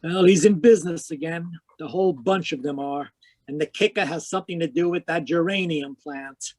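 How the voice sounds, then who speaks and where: Man playing a higher-voiced, wisecracking hard-boiled private eye. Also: normal speed, close to an everyday voice. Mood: sardonic. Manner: wisecracking, deadpan. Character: cynical hard-boiled male private detective. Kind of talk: 1940s radio noir acting and narration.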